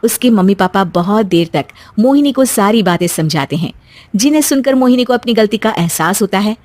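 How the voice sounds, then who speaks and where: A woman narrates a cartoon story in a mid-pitched voice. The delivery is scripted storytelling, recorded very dry with no room sound. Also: lively intonation, a little fast, a little mellow, no room sound.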